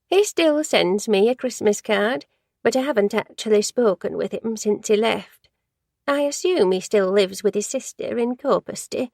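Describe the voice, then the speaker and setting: A woman's voice: mid-range voice, lively intonation, normal speed, vocal fry, a little mellow, no room sound, clean studio sound. A woman voicing a gossipy, judgmental older woman who works in an office, rambling and easily sidetracked in a storybook reading.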